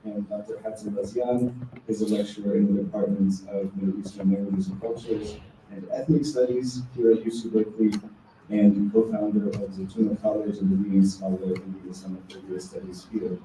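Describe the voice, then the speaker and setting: A man's voice: on the low side, little pitch movement, brisk, dark tone. A man opening a university event, polite and matter-of-fact, reading announcements and introducing speakers. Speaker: event introducer, associate professor. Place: university lecture room. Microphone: distant room recording. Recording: echoey room from far off, faint hiss.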